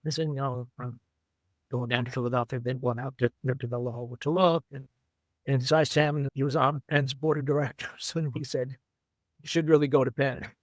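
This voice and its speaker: An older man from Brooklyn, a former runner and coach, telling stories in an interview in an unhurried, fond way with many fillers. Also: mid-range voice, fairly even intonation, no echo, poor audio. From Brooklyn, New York. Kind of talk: reflective storytelling.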